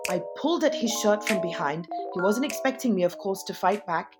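A woman's voice: medium pitch, animated, a little fast. A woman telling her story in an emphatic, defiant way, swearing freely. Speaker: interviewee. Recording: loud music bed under voice.

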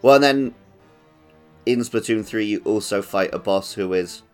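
A man's voice: on the low side, normal speed, smooth, faint music behind. A man co-hosting a casual gaming podcast, chatting in a jokey way and slipping into little mimicked quotes. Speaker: podcast co-host.

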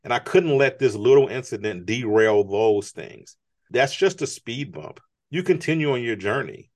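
A man's voice: medium pitch, expressive, normal speed, a little mellow. A man speaking as an interview guest, earnest and motivational, often rising in emphasis. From United States. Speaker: guest, business strategist. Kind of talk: conversational interview.

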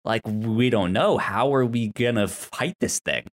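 A man co-hosting a casual TV recap discussion, halting and stammering over repeated sounds and words. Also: mid-range voice. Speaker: podcast co-host.

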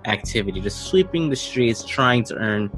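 A man's voice: medium pitch, lively intonation, normal speed, fairly bright tone, low-quality recording. A man hosting and narrating a tabletop role-playing game as game master. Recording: music under the voice. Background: music.

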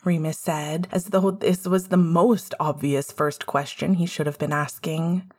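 A woman's voice: deep voice, fairly even intonation, unhurried, darkish tone. A woman reading scripted fiction as the narrator of an audiobook. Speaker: podfic narrator.

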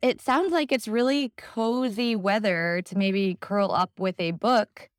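A woman's voice: medium pitch, unhurried, clear, resonant tone, dry recording, clean studio sound. A woman co-hosting a podcast, steering the conversation with playful questions. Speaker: podcast co-host.

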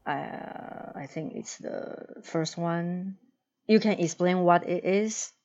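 A woman with a Taiwanese accent talks about learning in a low, even voice. She picks her English words with care, pausing to find the right phrase. The recording sounds dull and lo-fi. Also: a little hiss or hum. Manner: searches for words, careful phrasing. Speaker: education student in discussion. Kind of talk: casual discussion.

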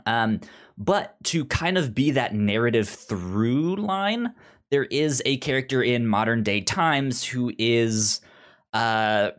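Halting, stuttering podcast host in casual discussion. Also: mid-range voice, lively intonation. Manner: halting, with frequent stuttered repeats of sounds and words.

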